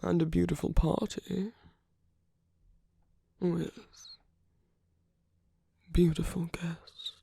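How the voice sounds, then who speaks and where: A man playing a suave, sly aristocratic villain in an ASMR roleplay, speaking in a soft, breathy, often near-whispered voice with languid, teasing politeness and veiled menace. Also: on the high side, level tone, extremely slow, long pauses, no room sound, studio quality, exaggerated voice. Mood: teasing, seductive. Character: suave sly aristocratic villain, flirting and secretly scheming at a masquerade. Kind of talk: ASMR roleplay, intimate scripted acting.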